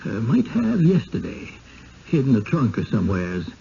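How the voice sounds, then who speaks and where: Creaky, folksy rural American rustic. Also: male voice, mid-range voice, wildly swooping pitch, moderate pace, dark tone. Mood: wistful. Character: wary, folksy rustic village man seeking escape. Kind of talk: 1970s radio drama acting. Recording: dull, hissy old radio broadcast.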